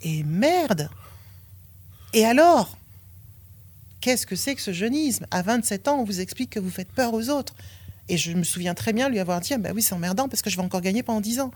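A woman's voice: mid-range voice, lively intonation, normal speed, darkish tone, slightly rough. French woman in her 50s talking straight, blunt, gets fired up, no filter. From France. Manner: frank and blunt, swears, rising indignation. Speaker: interviewee, former athlete. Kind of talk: candid personal testimony.

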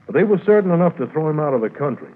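Mountie sergeant guy, brisk and bossy, old radio drama, tinny and hissy. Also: a little high, big pitch swings. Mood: urgent, businesslike. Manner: authoritative, clipped. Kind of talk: 1950s radio acting.

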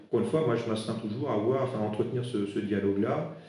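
A man from eastern France whose first language is Alsatian, an interim HR director answering podcast interview questions in a halting way, full of fillers and restarts. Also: fairly low pitch, mellow. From eastern France, Alsace. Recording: very echoey room with faint noise.

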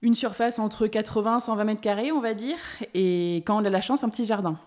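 A woman's voice: medium pitch, fairly even intonation, a little fast, darkish tone. A woman hosting a podcast interview in a conversational, informal way.

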